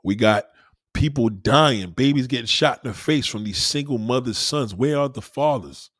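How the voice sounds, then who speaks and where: Blunt, husky-voiced man lecturing. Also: mid-range voice, moderate pace, fairly bright tone, no room sound, clean studio sound. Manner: emphatic, repeats himself for stress. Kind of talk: casual opinion monologue.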